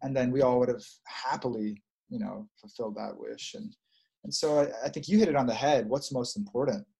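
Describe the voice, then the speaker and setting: A man leading a prayer and then talking gently and reflectively, repeating phrases for emphasis. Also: mid-range voice, a little fast, gravelly, husky, poor audio. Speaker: prayer leader. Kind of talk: spiritual chat and prayer.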